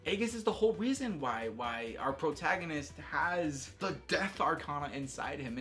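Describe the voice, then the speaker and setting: Male video host, slightly high voice, excitable slangy delivery, off-the-cuff gaming commentary over music. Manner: enthusiastic, slangy, with emphatic exclamations. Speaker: YouTube host reviewing a video game. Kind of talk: casual, unscripted video game commentary.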